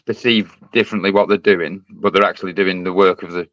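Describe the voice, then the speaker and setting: A man's voice: low voice, steady tone, brisk, fairly bright tone, no echo. A man co-hosting a live stream, musing aloud with questions in casual chat. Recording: dull, dry lo-fi line.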